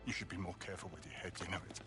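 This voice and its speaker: An actor playing a tough, cheeky middle-aged British crook, sardonic and blokey, in a video game cutscene. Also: male voice, low voice, fairly even intonation, rapid-fire, over loud music, natural voice. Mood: sardonic, cautioning.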